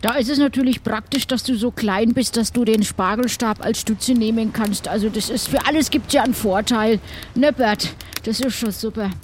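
A woman's voice: a little high, moderate pace, close to an everyday voice. Easygoing, colloquial castaway pirate played by a woman, southern German accent, chatty, audio adventure, slight background noise. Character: easygoing, chatty, good-natured shipwrecked pirate. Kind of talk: improvised audio adventure play.

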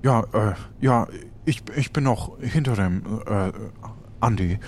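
A man playing a timid, awkward and polite customer who speaks haltingly in an improvised audio drama. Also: on the low side, crowd noise, pitched below the performer's own voice. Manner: halting, apologetic.

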